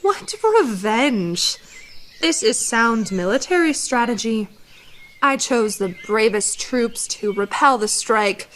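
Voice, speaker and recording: A woman's voice: a little high, animated, slow pace, fairly bright tone, a little hiss or hum. A woman playing a pompous, scheming army commander in a radio theatre drama, speaking formally and smugly. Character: haughty, scheming army commander, vindictive and smug.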